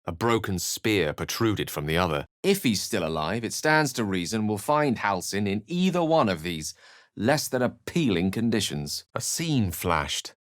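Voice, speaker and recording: A man's voice: lowish voice, expressive, measured pace, no room sound, studio quality. A man voicing a refined, witty wizard for a video game, speaking in an articulate, theatrical, wry way. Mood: wry, urbane. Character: eloquent, witty, refined human male wizard. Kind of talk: video game character voice lines and narration.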